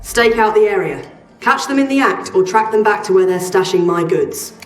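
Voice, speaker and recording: An actress playing a guarded, exacting trader in a post-apocalyptic video game, speaking in a measured, terse, matter-of-fact way. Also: female voice, medium pitch, animated, close to an everyday voice. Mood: guarded, stern. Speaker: quest-giving trader. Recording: roomy, slightly distant, music behind.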